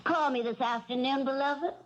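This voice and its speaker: A woman's voice: sits high, steady tone, moderate pace, close to an everyday voice. An actress playing an affected, effusive society lady in a theatrical 1970s radio drama style. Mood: gushing, breezy. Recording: muffled old radio broadcast.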